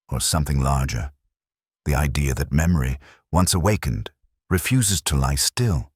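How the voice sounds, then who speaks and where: Very deep-voiced man narrating a mystery. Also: breathy edge, no echo, clean studio sound. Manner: measured, reflective, solemn. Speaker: narrator of a detective story. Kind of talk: slow literary first-person mystery narration.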